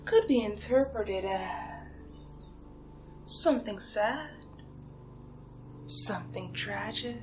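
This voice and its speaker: A woman playing an ancient, seductive female vampire in a whispered ASMR roleplay, taunting her prey in a breathy, theatrical way with drawn-out pauses. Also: mid-range voice, rough recording. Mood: solemn, ominous. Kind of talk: ASMR roleplay monologue, soft-spoken and whispered. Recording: music behind the voice. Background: music.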